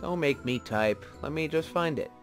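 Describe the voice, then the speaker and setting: A man's voice: on the high side, animated, normal speed, soft background music. A man doing casual gaming commentary, thinking aloud in a rambling way as he talks to his viewers. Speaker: gaming video host. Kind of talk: casual Minecraft let's-play commentary.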